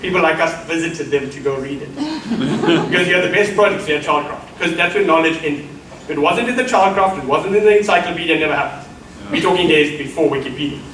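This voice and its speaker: A man's voice: a little high, expressive, quick pace, background noise. A middle-aged South African man giving an emphatic keynote lecture, addressing the audience as colleagues. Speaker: keynote speaker. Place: lecture hall. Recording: roomy echo from far mic, faint ambience.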